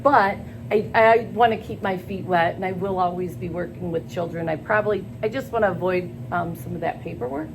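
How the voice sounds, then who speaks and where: An older woman answering job interview questions in an earnest, halting way, with many pauses and fillers. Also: lowish voice. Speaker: school staff job candidate. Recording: noisy background.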